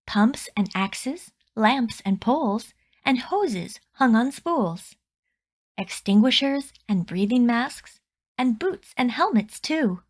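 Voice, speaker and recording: A woman reading a rhyming children's picture book aloud with deliberate, expressive storytelling phrasing. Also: on the high side.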